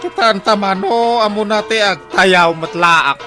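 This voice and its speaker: A man's voice: high-pitched, unhurried, sharp tone, faint music behind. A man playing a jolly, gluttonous, tipsy village friend in an Ilocano radio comedy, with an Ilocano accent and exaggerated, drawling interjections. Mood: tipsy, teasing.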